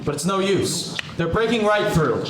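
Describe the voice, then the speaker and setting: A man playing an excitable 1930s radio field reporter, giving polite but pressing on-scene commentary full of wonder. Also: fairly high pitch, moderate pace. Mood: tense, fascinated. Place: live audience performance in a hall. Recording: echoey hall, distant mic, noisy ambience.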